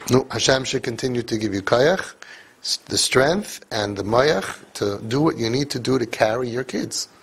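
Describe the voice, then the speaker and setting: A man's voice: mid-range voice, expressive, normal speed, gravelly, breathy edge. A man giving an emphatic motivational talk to parents, repeating phrases for force. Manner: emphatic, repeats phrases, mixes in Yiddish and Hebrew words. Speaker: speaker addressing parents.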